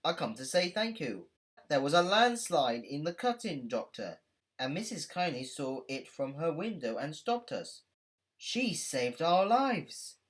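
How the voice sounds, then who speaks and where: A woman voicing a hearty, practical male train driver in a children's storybook, brisk and plain-spoken. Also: deep voice, big pitch swings, voice pushed up. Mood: grateful. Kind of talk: children's storybook read-aloud.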